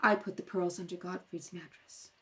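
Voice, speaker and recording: A woman acting out a proud young society woman, now humbled and speaking formally and softly, in an amateur radio play read-through. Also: fairly low pitch, fairly even intonation, moderate pace, natural voice. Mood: humbled, contrite.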